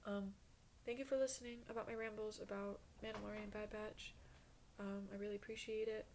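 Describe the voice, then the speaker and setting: A woman's voice: mid-range voice, little pitch movement, a little fast, darkish tone, slight background noise. Young woman with a sore, strained voice. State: sore throat, strained and breaking voice. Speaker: podcast host. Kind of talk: casual podcast sign-off.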